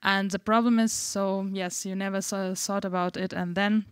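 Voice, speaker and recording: A woman from Uzbekistan whose first language is Russian, speaking haltingly as a panelist and searching for English words. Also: mid-range voice, steady tone, clean tone, no echo, clean studio sound. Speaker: artist panelist. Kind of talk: panel talk.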